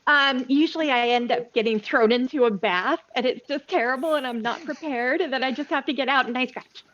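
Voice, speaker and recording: Female gamer, high pitch, casual in-character chat, slightly dull audio. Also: fairly quick, a bit bright. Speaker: player in online tabletop roleplaying game.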